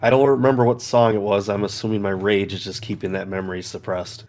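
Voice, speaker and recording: A man's voice: lowish voice, little pitch movement, fast talker, darkish tone. A man co-hosting a podcast, casually sharing an anecdote with friends. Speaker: podcast co-host.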